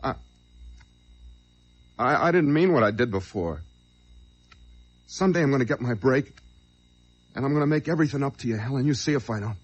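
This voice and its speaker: An actor playing a desperate, whining small-time crook on the run in an old radio crime drama, stammering, pleading and intense. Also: male voice, mid-range voice, expressive, fairly quick, long pauses, slightly rough. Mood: agitated, pleading. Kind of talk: old radio crime drama, dialogue and first-person narration. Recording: noisy vintage radio drama recording.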